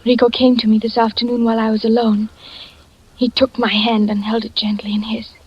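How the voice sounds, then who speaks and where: An actress playing a frightened, gentle young wife who speaks in a hushed, breathy near-whisper, in 1940s radio drama style. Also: female voice, on the high side, level tone, a little fast. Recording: lo-fi old radio recording, faint music.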